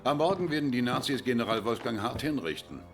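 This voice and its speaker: Man acting a stern general, expressive, music behind. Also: mid-range voice, quick pace, lightly acted. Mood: insistent. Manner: authoritative, grave. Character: stern, commanding British general, grave and insistent. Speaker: video game character in cutscenes. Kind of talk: dramatic briefing in game cutscene.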